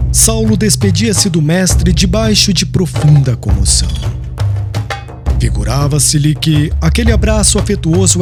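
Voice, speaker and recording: Male narrator, fairly high, very lively pitch, audiobook reading over clear background music. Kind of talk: scripted narration.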